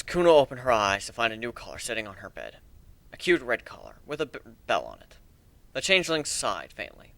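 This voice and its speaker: A man reading a story aloud as a dramatic narrator, in a measured, deliberate way with expressive emphasis. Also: mid-range voice, dry recording.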